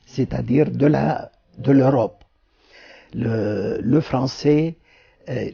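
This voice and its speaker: An older man from Lebanon, an Arabic speaker, giving formal, deliberate interview answers with frequent hesitations. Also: medium pitch, vocal fry, mellow, dull audio.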